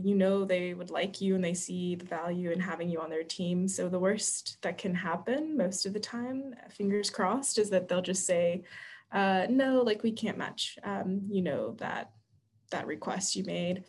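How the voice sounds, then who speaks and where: Gravelly low-voiced woman. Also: measured pace, a little mellow. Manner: earnest, advice-giving, restarts words. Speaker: admissions staff guest. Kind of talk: casual podcast conversation.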